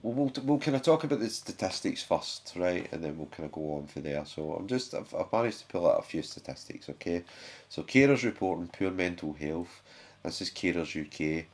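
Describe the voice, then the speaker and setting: A British man with a sore throat, his voice sitting very low. He talks casually and haltingly to his viewers, with frequent fillers and self-corrections. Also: expressive. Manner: chatty, halting, many fillers and restarts. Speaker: YouTube channel host. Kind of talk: casual talk to camera.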